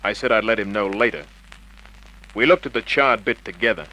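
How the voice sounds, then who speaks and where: An actor playing a cool, wisecracking private investigator in a clipped, commanding vintage radio crime style. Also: male voice, on the low side, lively intonation, brisk, sharp tone, poor audio. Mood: cool, controlled. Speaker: lead actor and narrator. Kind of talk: 1950s radio crime drama acting, hardboiled narration. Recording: old radio recording with hiss.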